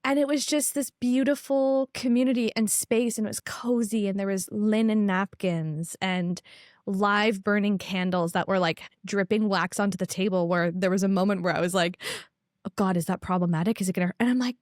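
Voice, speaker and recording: A woman's voice: mid-range voice, steady tone, moderate pace, a little mellow, no echo, clean studio sound. A woman hosting a podcast, chatty and gushing with enthusiasm as she interviews her guest. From Canada. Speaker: podcast host.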